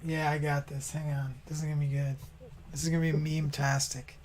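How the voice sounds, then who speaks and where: A man's voice: fairly high pitch, little pitch movement, fairly quick, a little mellow, a little hiss or hum. A man in his early thirties chatting casually while gaming, slangy and playfully teasing. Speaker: racer and streamer in a community race. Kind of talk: casual gamer chat.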